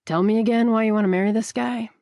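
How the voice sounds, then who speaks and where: A woman reading the part of a blunt, sarcastic young man who needles his friend, in a deliberately lowered voice. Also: fairly even intonation, gravelly, darkish tone, no room sound, clean studio sound. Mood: needling. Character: brash, teasing young man, male friend.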